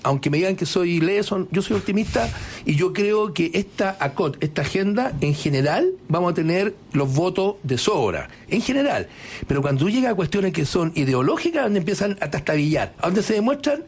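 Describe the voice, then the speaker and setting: Chilean congressman, high voice, emphatic and interjecting, keeps restarting. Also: big pitch swings, moderate pace, slight background noise. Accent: Chilean Spanish. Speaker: congressman guest. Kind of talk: political talk show debate.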